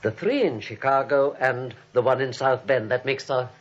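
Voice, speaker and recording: An actor playing a proud, menacing middle-aged killer in a theatrical 1940s radio style. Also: male voice, mid-range voice, normal speed, natural voice. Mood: proud, indignant. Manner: theatrical, threatening. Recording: muffled old radio recording.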